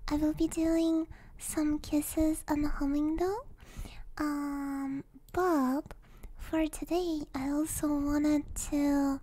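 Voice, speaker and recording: A young woman speaking in a soft, breathy, often whispered voice, chatting hesitantly and gently as an ASMR streamer close to the microphone. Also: high-pitched, rough recording. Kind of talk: ASMR, casual chat with viewers. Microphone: close stereo ASMR microphone.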